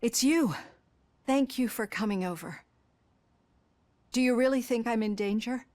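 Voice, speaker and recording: A woman's voice: on the high side, unhurried, darkish tone, lightly acted. An actress playing a worried, earnest doctor in her fifties in a video game. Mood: anxious, grateful. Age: middle-aged. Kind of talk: video game cutscene dialogue.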